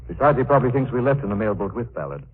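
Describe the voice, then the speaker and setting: An actor playing a resolute, skeptical gentleman sleuth with a firm, urbane delivery in 1940s radio style. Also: mid-range voice, very animated, rapid-fire, close to an everyday voice. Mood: intent. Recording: noisy, narrow-band 1940s radio recording.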